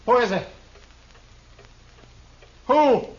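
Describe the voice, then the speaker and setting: An actor playing a desperate, deluded killer in a radio western, speaking in a florid, theatrical, menacing way. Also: male voice, very high-pitched, expressive, very slow, exaggerated voice. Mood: frantic, accusing. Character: desperate, deluded killer, grandly theatrical Shakespeare-quoting fugitive. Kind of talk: 1950s radio western acting. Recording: muffled, noisy 1950s radio recording.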